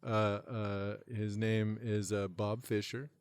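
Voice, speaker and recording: A man co-hosting a comedy podcast, spinning deadpan made-up stories and laughing at his own jokes. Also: fairly low pitch, slow, clear, resonant tone, dry recording. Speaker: podcast co-host. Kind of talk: casual comedic banter.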